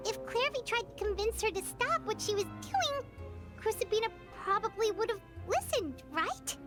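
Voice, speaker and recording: An actress voicing a small, curious fairy-like companion in a game, asking eager questions. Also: female voice, extremely high pitch, expressive. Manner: inquisitive, quick to ask. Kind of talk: game story cutscene dialogue. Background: game music.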